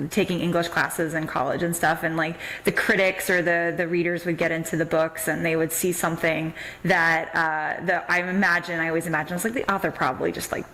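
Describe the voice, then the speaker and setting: A woman's voice: on the low side, a bit bright, low-quality recording. A woman hosting a casual, conversational interview in an agreeable, affirming way. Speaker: podcast host interviewing an author.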